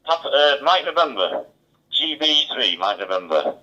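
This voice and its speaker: A man's voice: medium pitch, very animated, a little slow, sharp tone. A man chatting casually as an amateur radio operator, heard over a two-way digital radio. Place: mobile radio station. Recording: dull, band-limited two-way radio transmission.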